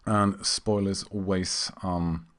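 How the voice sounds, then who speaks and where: A man from Germany, an English speaker, giving casual and somewhat halting gaming commentary. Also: deep voice, flat delivery, a bit bright. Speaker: game streamer. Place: indoors at a computer.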